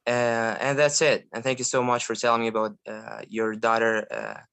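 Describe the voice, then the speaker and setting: A man's voice: mid-range voice, normal speed, creaky, smooth. Young Egyptian man with American-style English. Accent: American-style English learned by a non-native. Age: 20s. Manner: animated, laughing often, frequent restarts. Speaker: guest polyglot. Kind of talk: casual conversational interview.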